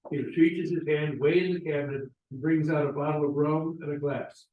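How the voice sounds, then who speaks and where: A man's voice: a little high, fairly even intonation, moderate pace, phone-quality sound, a little hiss or hum. Man narrating, echoey distant room. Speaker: stage-directions reader. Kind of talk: scripted narration. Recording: roomy, echoey, distant mic.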